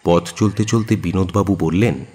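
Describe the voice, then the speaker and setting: Deep, husky male storyteller in reverb. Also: moderate pace, a little mellow. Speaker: narrator. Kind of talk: dramatised story narration. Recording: long hall-like reverb.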